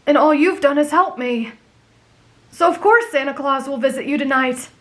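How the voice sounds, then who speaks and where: A woman's voice: high voice, moderate pace, a bit bright. A woman voicing a kind, earnest teenage boy with a sincere, reassuring big-brotherly manner, in an anime fan roleplay. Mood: tender, reassuring.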